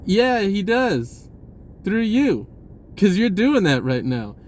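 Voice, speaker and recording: A man's voice: high-pitched, very animated, slow, darkish tone, slight background noise. A man talking casually about spirituality in a rambling way, repeating words and swearing offhand. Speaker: spiritual vlogger.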